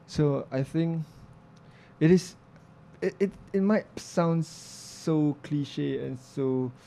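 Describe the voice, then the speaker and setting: Young male interview guest; gravelly, mellow-toned, earnest, stops and restarts mid-thought. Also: a little high. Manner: reflective, earnest, halting with restarts and fillers. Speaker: interview guest, filmmaker and music producer. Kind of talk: casual interview talk.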